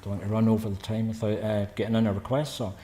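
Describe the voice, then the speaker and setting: A man in his fifties with a Scottish accent from Paisley, chatting casually between songs at a concert and telling stories in a brisk, rambling way. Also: on the low side, flat delivery, dark tone, a little hiss or hum. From Scotland. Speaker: singer-songwriter performing a concert. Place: church. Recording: long church reverb with guitar.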